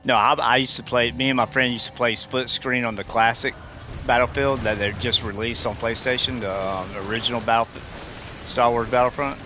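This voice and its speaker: A man's voice: medium pitch, steady tone, normal speed, sharp tone, a little hiss or hum. A man in his forties chatting casually with his viewers while gaming, rambling and restarting his sentences. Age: 40s. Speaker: livestreamer talking to chat. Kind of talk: casual chat while playing.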